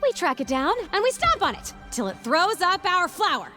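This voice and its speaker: An actress playing a brave, sceptical, wisecracking young heroine in an animated game, punchy and exclamatory. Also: female voice, very high voice, moderate pace, music in the background, exaggerated voice. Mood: alert, determined.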